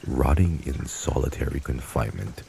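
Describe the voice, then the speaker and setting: Extremely low male voice, dark and creaky, cold vampire boss, pompous archaic commands, menacing, fantasy audio drama, some background noise. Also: normal speed, exaggerated voice. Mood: cold, commanding. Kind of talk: scripted fantasy audio roleplay.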